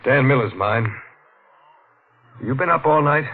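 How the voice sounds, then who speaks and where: Tough suspicious american husband in 40s radio thriller, gruff n husky, tinny old recording. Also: male voice, mid-range voice, lively intonation, a little slow. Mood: guarded politeness. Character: tough suspicious working-class husband quietly investigating. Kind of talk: 1940s radio mystery acting.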